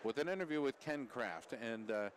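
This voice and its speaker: Halting American sports radio host. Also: male voice, mid-range voice, animated. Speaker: radio host and play-by-play broadcaster. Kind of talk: live sports broadcast chat. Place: indoor sports arena. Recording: noisy arena ambience.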